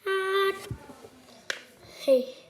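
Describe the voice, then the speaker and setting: High-pitched child vlogger, noisy recording. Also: drawn-out words. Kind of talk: casual rambling vlog.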